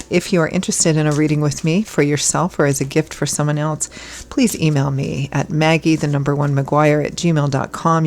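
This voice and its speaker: A hoarse woman giving a casual tarot reading as host, chatty and reassuring, her voice still froggy from illness. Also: low-pitched, darkish tone, slight background noise. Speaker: tarot reader.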